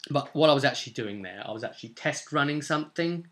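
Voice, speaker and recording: British man, twenties, bright edgy tone, medium pitch, casual rambling delivery, game master vlog. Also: lively intonation, quick pace. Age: 20s. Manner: rambling, conversational, with tag questions. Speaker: game master recapping sessions as vlogger. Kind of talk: casual chat to camera. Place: small indoor room at home.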